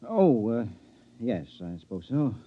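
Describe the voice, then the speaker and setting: An actor playing a distracted, impatient, hesitant husband in a 1950s radio drama. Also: male voice, mid-range voice, big pitch swings, unhurried. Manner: offhand, hesitant. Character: distracted, impatient husband, evasive and weary of affection. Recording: muffled old radio recording.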